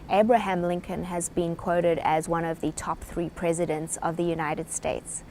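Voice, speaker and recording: Woman, South African accent, lowish pitch, earnest explainer, faint rumble. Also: darkish tone. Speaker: presenter. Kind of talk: short talk to camera on leadership.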